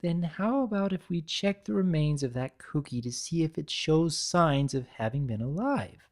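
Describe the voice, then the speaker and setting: A woman voicing a calm, gentle, studious young female cartoon pony who reasons in a measured, considerate way. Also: sits low. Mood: gentle, inquiring.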